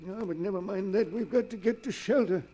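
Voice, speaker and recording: A man acting a scheming, commanding professor swindler in a 1940s radio drama, with a theatrical, deliberate delivery. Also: sits high, warm tone, muffled recording. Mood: urgent. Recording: vintage 1940s radio recording.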